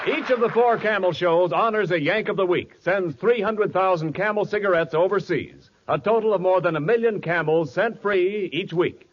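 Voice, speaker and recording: A man's voice: sits high, moderate pace, hardly any pauses, a crowd in the background. An American man announcing a 1940s radio show from a script. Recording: dull 1940s radio recording with music.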